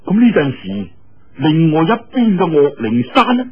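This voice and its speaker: A male Cantonese storyteller delivers martial-arts fiction with drama and colloquial flair. His voice is highish and husky, with wide swings in pitch. The recording sounds dull and lo-fi. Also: huge pitch swings, a little slow.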